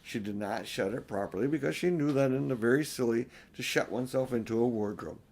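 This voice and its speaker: A man's voice: mid-range voice, brisk, darkish tone. An older man narrating a children's storybook expressively as an audiobook reader.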